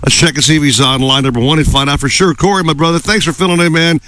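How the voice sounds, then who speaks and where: A man hosting a fishing radio show, bantering in a jokey, excitable way. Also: a little high, fast talker, slight background noise. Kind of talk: casual radio chat.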